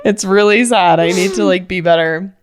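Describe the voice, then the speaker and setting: A woman's voice: on the low side, steady tone, moderate pace. A woman in her twenties co-hosting a podcast, chatting casually and filling her talk with "like.". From Utah. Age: 20s. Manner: chatty, many "like" fillers, quotes her own thoughts. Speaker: podcast co-host. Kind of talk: casual chat.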